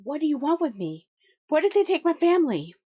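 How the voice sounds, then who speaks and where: A woman voicing a young female captive who narrates in a low, subdued, introspective tone. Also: sing-song, brisk, mellow, highs cut off. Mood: wary.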